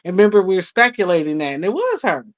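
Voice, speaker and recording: A woman's voice: fairly low pitch, big pitch swings, fast talker, dry recording. A woman in casual panel conversation, heard over slightly dull livestream call audio. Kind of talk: casual speculative chat. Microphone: video-call livestream.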